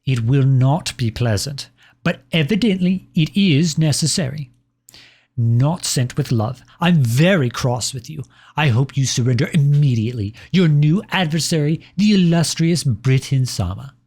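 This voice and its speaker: A man's voice: fairly high pitch, expressive, unhurried, a little mellow. A man playing a pompous, jilted personification of Britain in a comedic audio roleplay, reading formal letters with petulant indignation. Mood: outraged, hurt.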